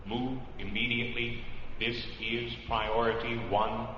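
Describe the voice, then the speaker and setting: A man's voice: medium pitch, level tone, unhurried, pushed and bright, soft background music. An actor playing a commanding male spaceship announcer in a radio drama, clipped, formal and authoritative over a reverberant public address. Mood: urgent, commanding. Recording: lo-fi, cavernous echo, hissy background.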